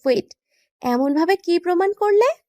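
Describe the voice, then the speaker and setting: A woman acts out a playful young wife with a high-pitched voice, recorded dry and close. She pouts, banters and mock-scolds, quick to protest, in the style of a romantic audio drama. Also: lively intonation, a little mellow, no room sound. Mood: teasing, challenging. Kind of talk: romantic audio story acting.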